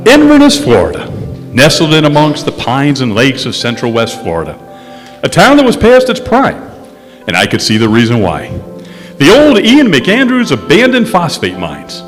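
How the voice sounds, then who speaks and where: A wry male investigator , played by a stage actor, narrates his case like a 1950s radio detective. His fairly high voice swings widely in pitch, heard in a reverberant hall with music underneath. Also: wildly swooping pitch, moderate pace. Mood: wry, confiding. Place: stage in a historic courthouse hall.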